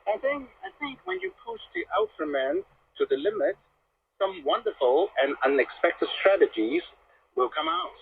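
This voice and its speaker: High creaky male voice, swoops all over, hesitant, on a radio. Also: huge pitch swings, normal speed. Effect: radio transmission. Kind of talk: talk heard over radio. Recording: tinny, phone-like radio reception.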